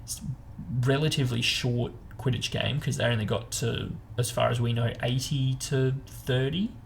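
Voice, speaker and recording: A man's voice: mid-range voice, steady tone, moderate pace, creaky, slight background noise. A man chatting casually as host of a book discussion, talking in an informal, meandering way as he explains and speculates.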